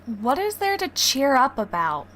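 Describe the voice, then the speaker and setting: An actress as a teenage girl in an old-time radio family comedy. Her high voice swings dramatically up and down as she complains, sulky and sarcastic. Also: big pitch swings, measured pace, fairly bright tone, full character voice. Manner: complaining, theatrical.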